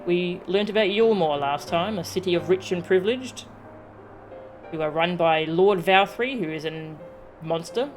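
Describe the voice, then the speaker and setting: Woman streamer just chatting w viewers, lowish voice, game music going in the background. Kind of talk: casual livestream chat. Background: video game music.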